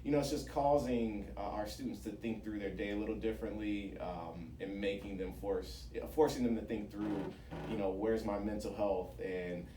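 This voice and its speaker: A man's voice: on the low side, steady tone, moderate pace. A man speaking conversationally and in a businesslike way as a radio interview guest, explaining his organisation's programmes. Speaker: interview guest, founder of a job-training organisation. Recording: roomy, distant mic, faint noise.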